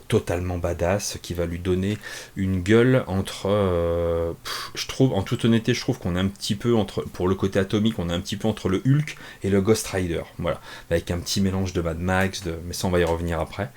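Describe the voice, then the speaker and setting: A man's voice: deep voice, darkish tone. A man chatting casually and unscripted from his small home office, reviewing a comic, self-mocking, with many fillers and restarts.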